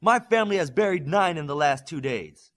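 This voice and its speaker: A man voicing a proud, fierce Greek warrior superhero and passionate leader in an audiobook, speaking with forceful, impassioned oratory. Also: a little high, lively intonation, fairly quick, voice pushed up. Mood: fierce, rallying. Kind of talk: audiobook character acting.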